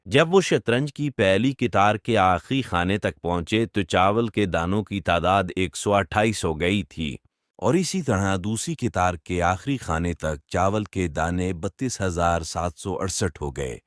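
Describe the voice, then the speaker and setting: A man narrating scripted children's stories as an expressive storyteller. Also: sits low, measured pace, no room sound, studio quality.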